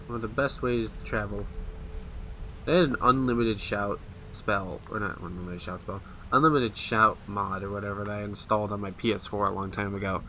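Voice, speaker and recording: A man's voice: on the low side, pushed and bright, slight background noise. A man giving casual, rambling let's play commentary on a video game. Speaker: gaming video host. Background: game music.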